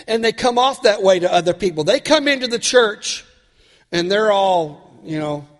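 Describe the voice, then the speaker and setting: A man delivers a sermon with a voice worn raspy by a cold, his pitch sitting fairly high for a man. He keeps turning to the congregation with earnest questions. The long reverb of a church hall trails every phrase. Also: lively intonation, normal speed. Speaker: pastor.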